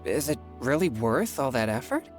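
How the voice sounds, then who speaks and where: A woman's voice: extremely low pitch, big pitch swings, moderate pace, loud music behind the voice. A woman voicing a polite, earnest young boy exorcist with a hesitant, easily flustered manner in a video game. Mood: uneasy, doubtful.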